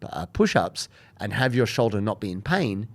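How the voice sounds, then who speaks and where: A man with a somewhat low voice gives earnest, emphatic advice in a relaxed podcast chat. He stresses caution and explains his reasons carefully. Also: moderate pace. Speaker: podcast co-host.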